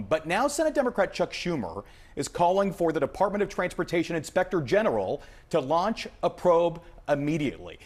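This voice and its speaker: An American man working as an aviation correspondent, explaining developments in a live news report with emphatic, punchy phrasing. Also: on the high side.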